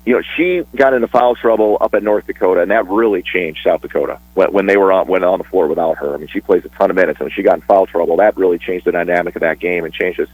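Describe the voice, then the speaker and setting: A man talking casually on a radio sports show, chatty and a bit rambling, with restarts and quick laughs. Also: low-pitched, darkish tone, husky, no room sound, low-quality recording. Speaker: sports broadcaster guest.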